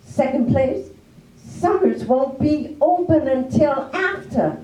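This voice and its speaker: A woman's voice: high-pitched, lively intonation, takes it slowly, mellow. A woman playing a stubborn, practical farm wife in an amateur stage reading, emphatic and scolding in a homespun way. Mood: chiding, stubborn. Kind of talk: amateur readers theater stage acting. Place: live play in a public library room. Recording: echoey room, distant mic.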